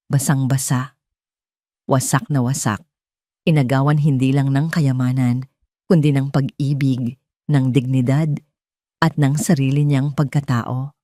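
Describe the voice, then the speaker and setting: A woman with a Filipino accent narrating a dramatic story in a solemn, emotive, deliberate way. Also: sits low, dark tone, no echo, clean studio sound.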